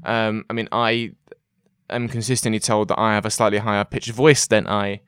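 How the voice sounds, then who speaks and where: A man with a smooth, fairly low voice hosts a casual chat. He rambles, repeats words and fills gaps with ums. The sound is close and dry. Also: flat delivery, moderate pace, a bit bright, no echo. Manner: chatty, rambling, with many fillers and repeated words. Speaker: host.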